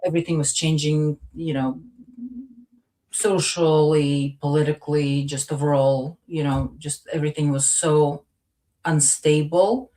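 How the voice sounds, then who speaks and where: A woman's voice: sits low, fairly even intonation, measured pace, warm tone, rough recording. A woman from Latvia speaking modestly and hesitantly as a guest in a casual, personal conversation. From Latvia, then part of the Soviet Union. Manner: modest, hesitant, searching for words. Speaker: interview guest.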